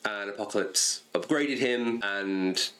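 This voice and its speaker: A man's voice: fairly low pitch, measured pace, fairly bright tone, low-quality recording. A man co-hosting a casual podcast, talking keenly and often tripping over and repeating his words. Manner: enthusiastic, stumbles and repeats words. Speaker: podcast co-host. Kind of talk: casual chat about cartoons and comics.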